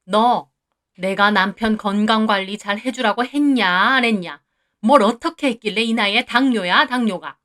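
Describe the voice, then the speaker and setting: A loud, high-pitched older mother-in-law, put on by a woman narrator, hurls coarse insults in a shrill, scolding voice. She shouts and berates without letting up, as in a dramatized storybook reading. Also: normal speed, close to an everyday voice.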